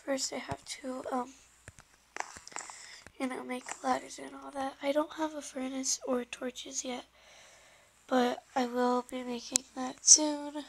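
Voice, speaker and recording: High-voiced girl-ish woman w a sore scratchy throat, slow umming game chat, half whispers, loud hiss and tapping clicks behind. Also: level tone, vocal fry. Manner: hesitant, many ums, drifting into soft whispers. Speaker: gameplay commentator. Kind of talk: casual game commentary.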